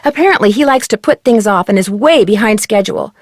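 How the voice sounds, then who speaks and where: A woman's voice: mid-range voice, animated, fairly quick, no room sound, studio quality, close to an everyday voice. A woman playing an earnest, inquisitive teenage girl detective in a video game, chatting in articulate, conversational lines. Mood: thoughtful, speculating.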